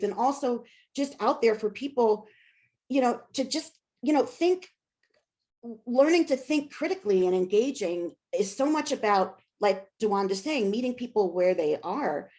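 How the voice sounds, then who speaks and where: A woman's voice: mid-range voice, darkish tone. An American woman, a scholar, answering interview questions casually, thinking aloud and interrupting herself. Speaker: scholar and author.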